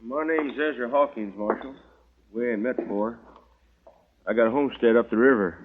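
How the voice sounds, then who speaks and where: A man's voice: medium pitch, moderate pace, phone-quality sound, close to an everyday voice. A man acting a plain-spoken, insistent homesteader in a 1950s radio western. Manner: rustic, folksy speech. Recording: thin, noisy old radio recording.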